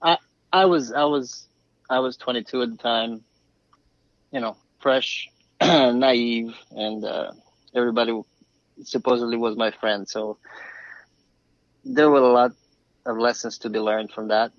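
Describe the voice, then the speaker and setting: A man's voice: mid-range voice, fairly even intonation, unhurried, long pauses, no echo. A man around forty from Romania, telling his story conversationally as a podcast guest. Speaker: podcast guest, flooring craftsman. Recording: slightly dull, dry remote call.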